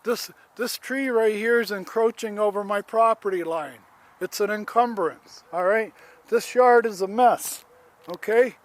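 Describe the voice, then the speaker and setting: A man's voice: high-pitched, measured pace. A man in his seventies filming himself outdoors around his yard on a handheld phone, airing an aggrieved complaint in a plain-spoken, rambling way with restarts. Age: 70s. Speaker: homeowner. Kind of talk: casual complaint vlog, filming as he walks.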